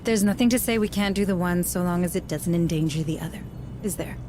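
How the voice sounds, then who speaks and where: A woman's voice: on the low side, fast, background noise, lightly acted. A woman acting a determined, outspoken colony administrator in a video game, with an earnest, insistent manner. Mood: composed. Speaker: deputy administrator.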